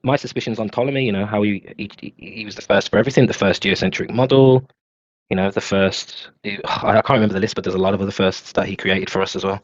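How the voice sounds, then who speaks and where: A man's voice: on the low side, fairly even intonation, fast, dry recording, muffled recording. A man in a casual discussion, often restarting his sentences and asking if others follow. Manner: stumbling restarts, questioning tone.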